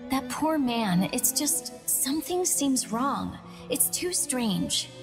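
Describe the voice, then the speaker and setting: Gentle young woman soldier, earnest, slightly high voice, anime game dub, reverb, music behind. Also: very animated, slow, full character voice. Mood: troubled, suspicious. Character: caring, worried young woman soldier searching for truth.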